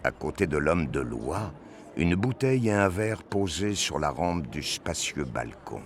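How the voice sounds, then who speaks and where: A man's voice: rumbling low, sing-song, a little slow. A man reading scripted narration for a science fiction audio drama.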